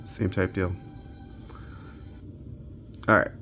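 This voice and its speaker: A man's voice: low-pitched, little pitch movement, very slow, sharp tone, faint music behind. A man giving casual, off-the-cuff commentary while testing games, muttering and thinking aloud, as a handheld gaming reviewer.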